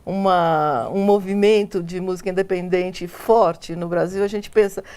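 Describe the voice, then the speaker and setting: A woman's voice: fairly low pitch, steady tone, unhurried, darkish tone, clear, resonant tone. A woman interviewing a musician, asking questions in a friendly, conversational way.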